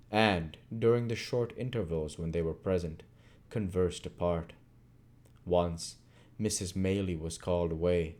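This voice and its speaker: A man narrates a classic novel. His voice is on the low side and very mellow. He reads in deliberate phrases, giving key words dramatic emphasis. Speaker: audiobook reader. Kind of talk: reading a classic novel aloud.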